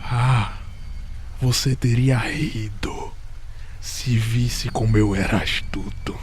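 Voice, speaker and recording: A man with a Brazilian accent playing a nervous, obsessive madman in a gothic horror audio drama, intense and deliberate, often dropping toward a whisper. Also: mid-range voice, fairly even intonation, slight background noise, lightly acted. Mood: sly. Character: nervous, obsessive madman confessing murder, insisting he is sane.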